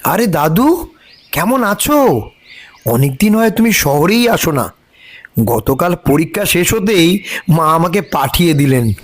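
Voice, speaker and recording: Eager, high-pitched college boy. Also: sing-song, measured pace, long pauses. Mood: cheerful. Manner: chatty, enthusiastic, curious. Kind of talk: cartoon voice acting.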